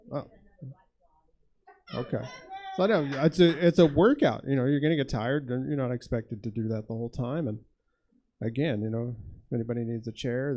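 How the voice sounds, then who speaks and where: A man hosting a stand-up comedy show on a theater stage. He talks casually and haltingly, with many fillers. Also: mid-range voice, mellow. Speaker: host and producer of the show.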